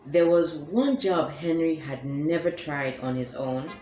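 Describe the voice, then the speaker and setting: Woman reading a kids storybook out loud, slow and animated, echoey room with faint hiss. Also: deep voice. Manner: expressive, animated. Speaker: storybook reader.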